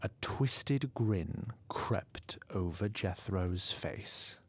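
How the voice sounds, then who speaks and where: A man's voice: low-pitched, drawn-out words, breathy edge. A man narrating a fantasy audio drama in a wry, formal storytelling manner.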